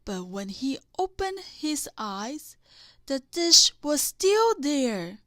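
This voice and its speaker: A woman reading a children's bedtime story aloud in a deliberate way, with dramatic emphasis. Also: high voice, big pitch swings, fairly bright tone, no echo. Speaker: storybook reader.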